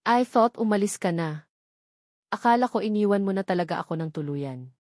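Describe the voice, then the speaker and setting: A woman's voice: medium pitch, animated, brisk, darkish tone, no echo, studio quality, lightly acted. A Filipino-accented woman voicing a lovestruck young man from a romance story, tender, clingy and pleading. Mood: tearful relief. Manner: pleading, affectionate, mixing English and Tagalog.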